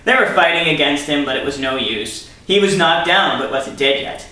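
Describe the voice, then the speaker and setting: A man's voice: fairly high pitch, lively intonation, normal speed, sharp tone. A man reading a story aloud theatrically, breaking in with sarcastic asides as commentary. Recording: very echoey room, distant mic.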